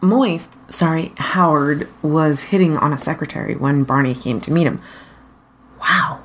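A woman reading fan fiction aloud as an expressive scripted narration. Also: sits low, moderate pace.

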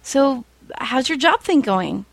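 A woman voicing a sweet, cheerful, encouraging young woman from a story, with a gentle upbeat lilt. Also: high voice, animated, takes it slowly, creaky, no room sound, voice pushed up, full character voice.

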